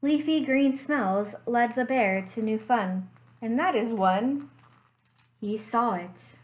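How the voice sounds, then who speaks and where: Young woman, librarian, storytime reading, slow pace, fairly high voice, webcam in a living room. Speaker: children's librarian. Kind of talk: reading picture books aloud.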